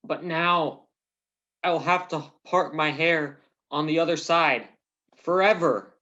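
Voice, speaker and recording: Man acting a melodramatic soap-opera husband, earnest, anguished, dim, slow delivery, dull lo-fi sound. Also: a little high, bright, forceful tone. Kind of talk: radio play soap opera parody. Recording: dull lo-fi recording.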